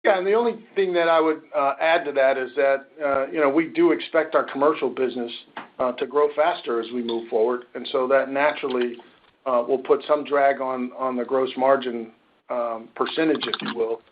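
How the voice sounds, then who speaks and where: A man speaking as a company finance executive, answering questions on a conference call in measured corporate phrasing. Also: mid-range voice, steady tone, a little hiss or hum. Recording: muffled conference-call audio.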